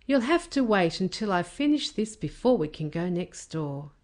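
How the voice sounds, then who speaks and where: A woman reading the part of a patient, reassuring father in a children's storybook, explaining things calmly. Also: fairly low pitch, moderate pace.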